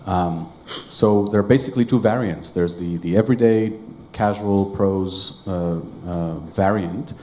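A man with a very low voice, a native Hebrew speaker, lays out his point slowly and in detail. He speaks from the audience at a conference session, with a faint hum of room noise behind him. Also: warm tone. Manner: measured, detailed explaining. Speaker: audience member asking a question.

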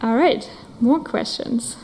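A woman moderating questions at a conference session in a meeting room, upbeat and brisk. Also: a little high, darkish tone, in a large hall, slight background noise.

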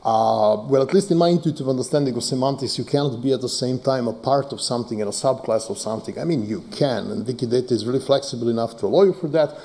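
Man with a mellow voice. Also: mid-range voice, quick pace, few pauses. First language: Serbo-Croatian. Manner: hesitant, many fillers, joking asides. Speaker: conference presenter, data scientist. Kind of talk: conference talk with live demo.

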